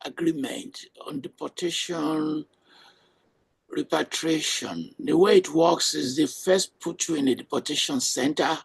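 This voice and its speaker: A man hosting a panel discussion as moderator, giving brisk directions to speakers. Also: a little high, creaky, breathy edge. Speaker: host and moderator. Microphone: video call.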